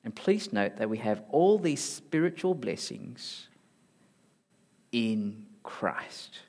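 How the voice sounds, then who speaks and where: A man from Malaysia with a mixed Malaysian, Singaporean and Australian accent, preaching a sermon emphatically and rising to loud high emphasis. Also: sing-song, takes it slowly. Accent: mixed Malaysian, Singaporean and Australian English. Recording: hall reverb.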